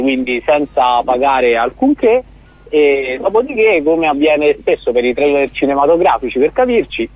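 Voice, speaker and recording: A man's voice: on the high side, slightly rough, no echo. A man, a startup founder, explaining his project earnestly as a guest in a radio interview. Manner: explanatory, earnest, with frequent restarts. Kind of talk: radio interview over the phone. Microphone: phone. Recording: tinny dry phone line.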